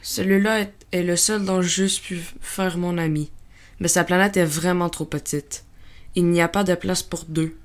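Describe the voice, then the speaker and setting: A woman's voice: fairly low pitch, steady tone, unhurried, lightly acted. Woman as an innocent, curious little prince. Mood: wistful, thoughtful. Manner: earnest, innocent, questioning. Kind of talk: audiobook story dramatization.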